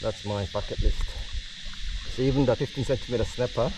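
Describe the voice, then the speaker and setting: A man's voice: medium pitch, steady tone, fairly quick. A man hosting a casual solo fishing vlog, talking outdoors on the water from a board-mounted camera. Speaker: fishing vlogger, host. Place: outdoors on a paddleboard on open water. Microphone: action camera mounted on the board, at a distance. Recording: distant mic, loud outdoor background noise.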